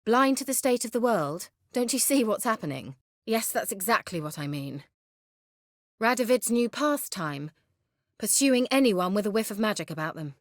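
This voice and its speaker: Woman acting a snooty flirty sorceress from a fantasy game, sarcastic, super lively pitch, dry close sound. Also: moderate pace, dry recording, close to an everyday voice. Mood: grave, indignant. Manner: haughty, teasing, biting sarcasm. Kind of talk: video game character dialogue.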